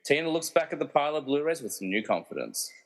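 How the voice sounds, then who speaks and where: A man's voice: a little high, sing-song, quick pace. A man narrating a radio play, reading out scripted scene directions.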